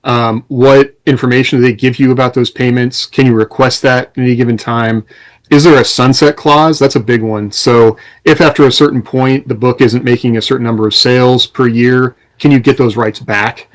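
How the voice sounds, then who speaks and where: A man's voice: mid-range voice, steady tone, moderate pace. A man co-hosting a podcast, explaining things in a conversational, advisory way. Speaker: podcast co-host and author. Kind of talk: casual explanatory advice in conversation.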